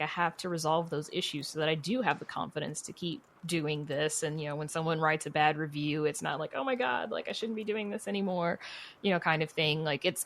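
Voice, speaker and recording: A woman's voice: low voice, a bit bright. A woman speaking as a podcast guest in casual interview talk, candid and encouraging, with plenty of "you know". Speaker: podcast guest, writer and producer.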